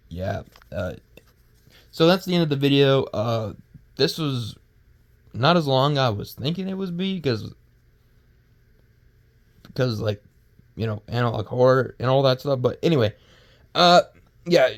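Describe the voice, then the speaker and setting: Man doing halting, rambling casual video commentary. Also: medium pitch. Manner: halting, rambling, stumbles over words and restarts. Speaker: video creator and host. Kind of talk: casual unscripted power-scaling commentary.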